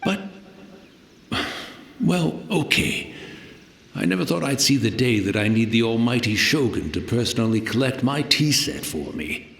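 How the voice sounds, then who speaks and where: An actor playing a humble, rambling old servant in a video game, courteous and wistful in old-fashioned formal speech. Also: male voice, mid-range voice, sing-song, measured pace, creaky, a bit bright, roomy sound, a little hiss or hum, exaggerated voice. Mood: pleading, humble. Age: older.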